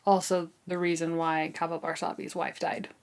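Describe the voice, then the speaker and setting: A woman's voice: lowish voice, level tone, moderate pace. A woman co-hosting a book podcast in casual chat, often questioning and checking in with her co-host.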